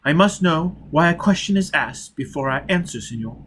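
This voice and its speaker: A man's voice: on the high side, normal speed, breathy edge, voice pushed up. A man voicing a fat, sly, wheezy peddler, obsequious and haggling as in an audiobook. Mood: sly, probing. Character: fat, greasy, sly peddler posing as Italian cook. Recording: steady background noise.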